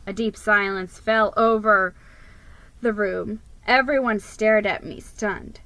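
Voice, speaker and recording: A woman's voice: mid-range voice, steady tone, takes it slowly, pushed and bright, dry recording. A woman giving a dramatic scripted reading of a children's story as its narrator. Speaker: storybook reader.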